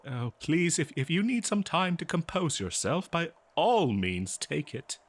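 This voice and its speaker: A man playing an eager, kindly scholar in an audio roleplay, speaking politely and haltingly to a listener. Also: a little high, sing-song, heavily acted. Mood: flustered, apologetic. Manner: halting, flustered, polite, exclaiming. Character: eager, scholarly, kindly male researcher of a lost species. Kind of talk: scripted audio roleplay, one-sided dialogue.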